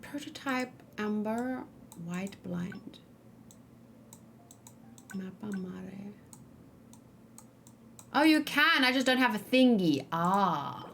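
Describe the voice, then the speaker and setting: Woman, game streamer, mid-pitched voice, casual thinking-aloud chat, muttered asides, faint noise with typing and clicking. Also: lively intonation, drawn-out words, pauses often, fairly bright tone. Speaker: gaming streamer, host. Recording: noisy, with keyboard typing and clicking. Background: background noise, computer keyboard and mouse clicks.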